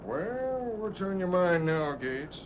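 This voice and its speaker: An actor playing a plain-spoken, amiable sheriff with an American accent and a wry, folksy manner, in a radio western. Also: male voice, high voice, big pitch swings, slow pace, heavily acted. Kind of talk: old-time radio western acting. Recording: dull, noisy vintage radio recording.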